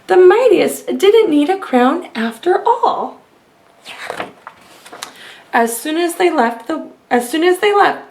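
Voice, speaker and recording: A woman reading aloud to children as a storyteller, expressive and asking her listeners questions. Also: high-pitched, gravelly.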